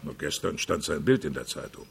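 An actor playing a working-class taxi driver in a radio play, giving a plain-spoken witness account. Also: male voice, extremely low pitch, expressive, brisk, gravelly, slight background noise, exaggerated voice. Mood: eager, chatty.

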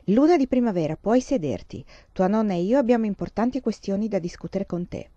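A woman's voice: medium pitch, expressive, a little fast, dry recording, muffled recording, lightly acted. A woman voicing a stern, lecturing mother. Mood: scolding. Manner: sharp, lecturing, impatient. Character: stern scolding middle-aged mother. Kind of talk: audiobook character reading.

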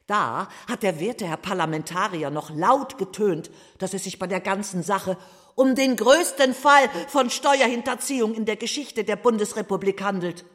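An actor playing a persistent, mockingly polite questioner in a radio play, halting with feigned confusion and sarcastic asides. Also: mid-range voice, a bit bright, lightly acted. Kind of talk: theatrical radio play monologue.

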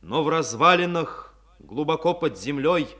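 A man narrating a 1950s Soviet war radio play in a solemn, measured, scripted dramatic style. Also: on the high side, lively intonation, a bit bright.

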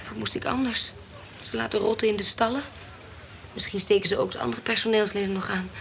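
A woman's voice: mid-range voice, steady tone, brisk, creaky, a bit bright, a little hiss or hum. An actress playing a cunning, deferential lady of a country house in a Dutch historical radio play, speaking politely with feigned meekness. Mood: deferential, pious.

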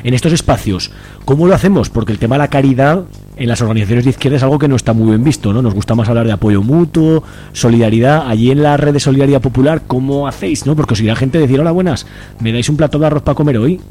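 Fast-talking Spanish man hosting radio. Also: medium pitch, dark tone, slight background noise. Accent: Spanish from Spain. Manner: colloquial, frequent tag questions. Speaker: radio host interviewer. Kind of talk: informal radio interview.

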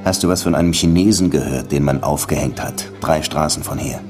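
An actor playing a world-weary, hard-boiled middle-aged police detective in a German radio crime drama. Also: male voice, very deep voice, fairly quick, a little mellow, soft background music. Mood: probing, terse. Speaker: lead actor and first-person narrator.

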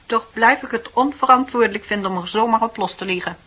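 A woman's voice: on the high side, quick pace, a bit bright, breathy edge. An actress playing a prim, proud older married woman in a radio play, stiff, reproachful and defensive. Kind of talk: Dutch radio play acting. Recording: dull old radio recording.

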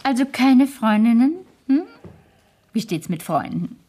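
A woman's voice: a little high, expressive, unhurried, warm tone. An actress playing a worldly, imperious older great-aunt in a radio play, grand and exacting as she instructs. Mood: brisk, instructing. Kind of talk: 1970s German radio play acting.

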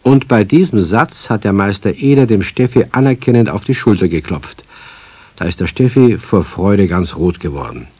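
A man as the narrator of a children's radio play, telling the story in a scripted style with a husky, dark voice. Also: lowish voice, animated, moderate pace, no echo. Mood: building suspense.

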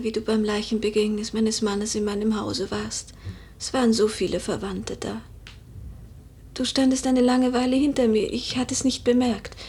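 A proud, wistful young widow of high birth in a radio play. The voice sits fairly high and is very husky and breathy. Phrases are measured and poetic, often sinking into a hush. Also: female voice, heavily acted. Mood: wistful, restrained. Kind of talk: German literary radio play acting.

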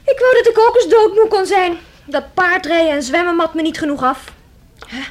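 A woman's voice: extremely high pitch, moderate pace. A woman playing a cheeky, restless young woman in a radio drama, with a teasing, theatrical manner. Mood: flippant.

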